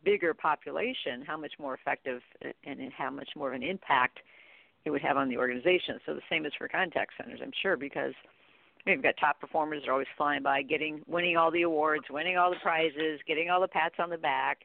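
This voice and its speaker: Creaky-voiced middle-aged woman on phone line. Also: sits low, brisk. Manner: explanatory, informal, peppered with "you know". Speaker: guest, contact center training expert. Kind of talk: conversational interview discussion. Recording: narrow, tinny phone-like line.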